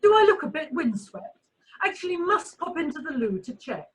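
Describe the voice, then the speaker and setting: Radio play socialite played by a woman: glamorous, breezy, theatrical, highish and animated. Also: normal speed. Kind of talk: amateur radio play acting. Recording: clearly noisy video call audio.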